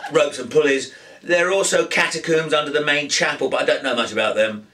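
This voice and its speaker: A man voicing a talkative, wry, eccentric young man in his twenties for a storybook, with an animated, musing manner. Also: fairly high pitch, fairly quick, distant mic, a little hiss or hum, pitched above the performer's own voice. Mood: eager, informative. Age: young adult. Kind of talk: audiobook character voice.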